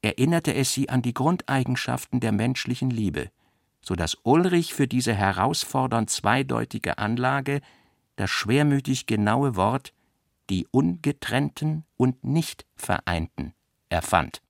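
A man reading literary narration for a radio play in a measured, carefully articulated way. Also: medium pitch, animated, creaky, dry recording, clean studio sound.

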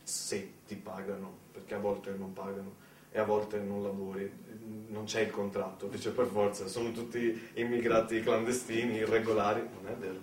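A man delivering a conversational theatrical monologue in speech, with dramatic pauses and asides to the listener. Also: on the low side, little pitch movement. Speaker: solo stage storyteller. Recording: roomy, distant mic, faint noise.

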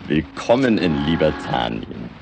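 An actor playing a wry, cynical local tavern regular in a German radio play, ironic and knowing. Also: male voice, a bass voice, big pitch swings, a little slow, gravelly, very noisy, full character voice. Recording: 1960s radio play with crowd behind.

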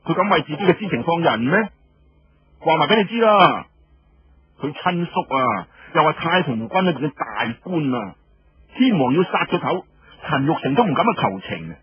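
A man's voice: on the high side, big pitch swings, moderate pace, no room sound, highs cut off, full character voice. A man voicing a brash, teasing woman general with a Cantonese accent, blunt and mocking in a radio story. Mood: scornful. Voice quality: husky.

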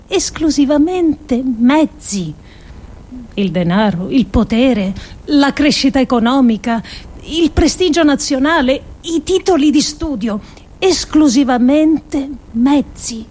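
Slow, solemn woman thinker, higher-pitched. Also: darkish tone. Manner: deliberate, declamatory, with weighty pauses between phrases. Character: earnest, grave woman thinker delivering a political monologue. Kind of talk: theatrical radio monologue of philosophical prose.

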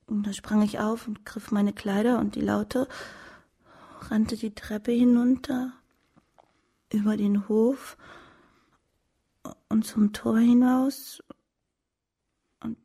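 An actress playing a young dancing girl in a radio drama, recounting events in a subdued, breathy voice. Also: on the high side, little pitch movement, slow pace, long pauses, mellow, full character voice. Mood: subdued, haunted.

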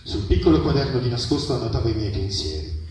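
A man giving an expressive literary reading of a first-person story, with measured, dramatic phrasing and pauses. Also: medium pitch. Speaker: reader at a public literary reading. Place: live reading at an outdoor festival. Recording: very echoey, distant mic, noisy outdoor ambience.

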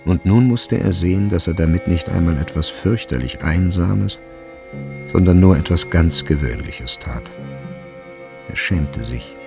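A male narrator of a literary radio drama with a low, gravelly voice. He reads in a measured, deliberate way over faint music. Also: lively intonation.

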